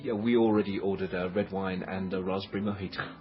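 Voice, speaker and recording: An actor in a radio drama playing an amiable, sensible male lawyer on a date, polite and measured in manner. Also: sits low, fairly even intonation, lo-fi sound, soft background music, lightly acted. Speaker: lawyer character. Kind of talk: naturalistic radio drama acting.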